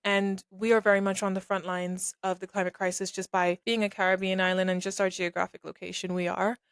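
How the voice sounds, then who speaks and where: A woman's voice: medium pitch, flat delivery, fairly quick, smooth, no room sound. A young woman from Barbados hosts her own podcast, speaking earnestly and thinking aloud as she goes. Her voice sits in an ordinary middle register, and it is recorded close and dry, with no room sound. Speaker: podcast host. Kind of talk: reflective solo podcast talk.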